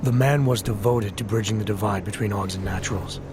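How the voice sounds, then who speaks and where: A man's voice: on the low side, a little fast, background noise, lightly acted. A man playing a grave, terse agent in a video game thriller, with a serious, matter-of-fact delivery. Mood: grave, urgent. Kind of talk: video game cutscene dialogue.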